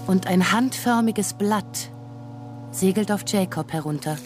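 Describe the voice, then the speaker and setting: A woman's voice: mid-range voice, measured pace, faint music behind. A woman setting scenes as literary narrator in a radio drama.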